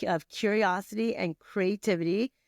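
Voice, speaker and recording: A woman's voice: medium pitch, steady tone, moderate pace, no room sound, clean studio sound. A middle-aged woman from Vietnam, guest on a podcast, talking casually with many fillers, restarts and laughs. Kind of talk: casual conversational interview.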